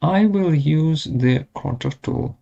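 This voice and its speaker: A man presenting a step-by-step software tutorial in short, measured, halting phrases. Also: a little high, creaky. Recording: dull lo-fi audio.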